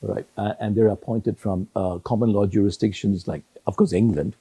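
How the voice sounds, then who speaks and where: An older man whose native language is Sindhi, answering interview questions in a measured way with many pauses and restarts. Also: lowish voice, steady tone, dark tone, husky, no room sound. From Hong Kong. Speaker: retired judge, interview guest.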